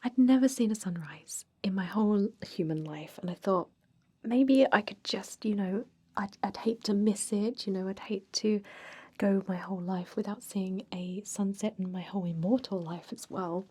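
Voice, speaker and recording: A friendly female vampire, played by a woman, conducts a job interview as an ASMR roleplay. She speaks in a soft, breathy whisper with a dark, mellow tone. Her manner is gentle, chatty and encouraging, like that of an ageless immortal putting a recruit at ease. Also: medium pitch, normal speed, natural voice. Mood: sympathetic, confiding.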